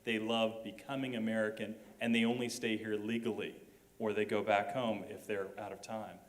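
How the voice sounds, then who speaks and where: A man from the audience posing a formal, measured question to a panel. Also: lowish voice, level tone. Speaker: audience member asking a question. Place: auditorium.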